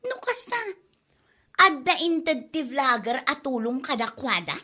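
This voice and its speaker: A lazy, scheming young mother, acted by a woman, complains in a high, piercing voice. Her Ilocano comedy drama delivery is whiny and forward, with a Filipino Ilocano accent. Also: big pitch swings, measured pace, sharp tone, soft background music, exaggerated voice. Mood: envious, pleading. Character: lazy, whining grown daughter and scheming mother.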